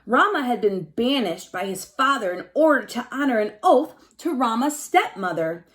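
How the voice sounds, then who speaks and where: Animated female teacher reading aloud to children. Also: a little high, normal speed. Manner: simple explanatory phrasing, addresses children directly, emphasizes key words. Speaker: elementary school teacher reading aloud to pupils. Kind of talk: read-aloud lesson with explanations and questions to children.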